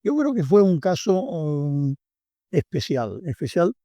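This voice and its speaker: An older man with a Tangier Spanish accent, his voice very dark and mellow. He speaks in a reflective, hesitant way full of pauses and fillers. The recording is close and dry, like a radio studio. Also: a little high, sing-song, no room sound. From Tangier, Morocco. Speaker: author and guest. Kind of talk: radio interview.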